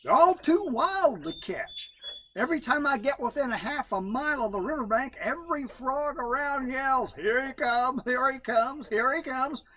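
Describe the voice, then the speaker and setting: A man's voice: very high-pitched, lively intonation, moderate pace, bright, forceful tone, pitched above the performer's own voice, exaggerated voice. A man voicing a down-on-his-luck male raccoon in a folk tale, with a drawling, complaining manner.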